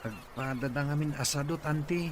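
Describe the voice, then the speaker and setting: A man's voice: a little high, moderate pace, noisy background, lightly acted. A man playing a weary, resigned young farmer in an Ilocano radio drama.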